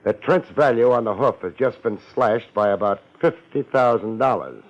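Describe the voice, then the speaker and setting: A man's voice: on the low side, expressive, moderate pace. A man playing a cocky, hard-boiled private detective with slangy, sardonic delivery in a 1950s radio drama. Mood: wry, needling. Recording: dull old radio recording.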